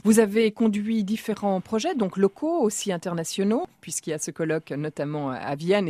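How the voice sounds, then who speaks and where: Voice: mid-range voice, dry recording. Intervieweur à l'intonation vive. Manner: lively, probing questions. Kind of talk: radio interview questions.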